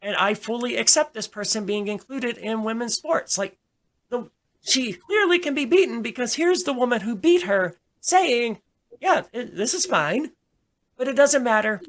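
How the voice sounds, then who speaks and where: A woman's voice: fairly high pitch, animated, moderate pace. A woman giving her opinions in a casual discussion, speaking emphatically and often restarting her phrases. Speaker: podcast host.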